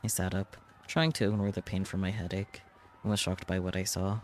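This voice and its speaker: A man's voice: low voice, level tone, a little fast. A man reading a visual novel aloud as dramatic narration. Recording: clear background noise.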